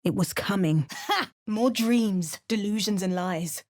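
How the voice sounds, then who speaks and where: A woman's voice: mid-range voice, slow pace, darkish tone, lightly acted. A woman voicing a wry, dramatic female adventurer hero in an exaggerated mock Cockney accent, with hammy, theatrical spell chants. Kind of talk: parody game voice lines.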